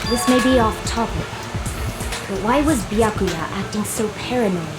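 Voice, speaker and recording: A woman voicing an animated teenage girl student in an anime murder mystery game. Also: mid-range voice, moderate pace, close to an everyday voice. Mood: questioning. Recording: loud game music underneath.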